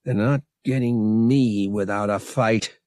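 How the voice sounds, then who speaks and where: A man's voice: lowish voice, steady tone, slow pace, a little mellow, no echo, studio quality. A man voicing a brash, stubborn young smuggler pilot with a blunt, defiant manner in an audiobook. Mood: dull, defiant.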